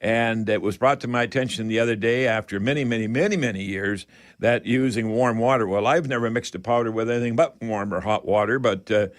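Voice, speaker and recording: A man hosting a radio call-in show, giving home improvement advice in a halting, folksy, rambling way. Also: medium pitch. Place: radio studio.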